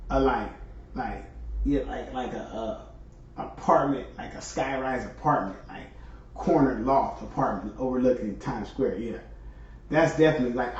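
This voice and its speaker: A rambling, talkative man hosts a solo stream, mid-pitched and easygoing. His voice sits well back from the mic. A heavy echo and a dull, muffled tone fill the room around him. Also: a little mellow. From California. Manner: rambling, chatty, frequent fillers. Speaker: host. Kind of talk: casual solo monologue. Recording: echoey, distant, dull sound.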